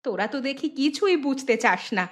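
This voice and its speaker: A woman voicing a poor, weary village mother in a cartoon, nagging and sharp-tongued in rustic colloquial speech. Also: high-pitched, sing-song, a little fast. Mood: resigned complaint. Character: harried poor village mother, scolding, weary and long-suffering. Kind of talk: cartoon story dialogue. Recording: music behind the voice.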